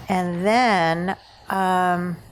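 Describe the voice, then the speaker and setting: A woman, an actor, casually discussing a script and pausing tentatively as she explains. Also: fairly low pitch, fairly even intonation, slight background noise. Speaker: actor at a table read.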